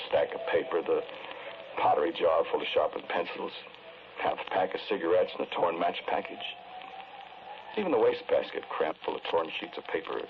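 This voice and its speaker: Deep, brooding 1940s radio-drama man. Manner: measured, hesitant, brooding, repeats himself. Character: sombre, earnest man confessing to killing the woman he loved. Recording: noisy, muffled 1940s radio recording.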